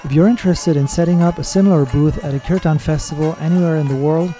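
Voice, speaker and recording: A man hosting a podcast, reading his scripted episode introduction and news in a measured, steady way. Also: on the high side, faint music behind. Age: adult with children. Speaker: podcast host.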